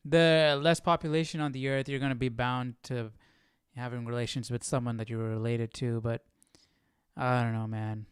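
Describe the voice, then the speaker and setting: A man's voice: mid-range voice, flat delivery, normal speed, smooth, no room sound, studio quality. A man hosting a live Bible study, chatting informally and thinking aloud in a hesitant, musing way. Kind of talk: casual live-stream chat.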